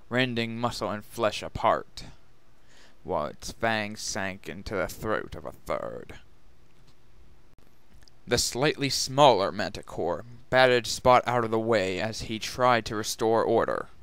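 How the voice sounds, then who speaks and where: A man's voice: fairly low pitch, fairly bright tone, clear, resonant tone, dry recording. A man narrating a scripted story as a dramatic reading. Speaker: narrator and reader.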